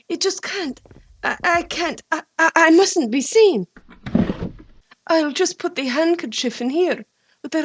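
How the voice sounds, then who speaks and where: A woman's voice: sits high, no room sound. A woman playing an anxious young cabin maid in a radio play, talking to herself.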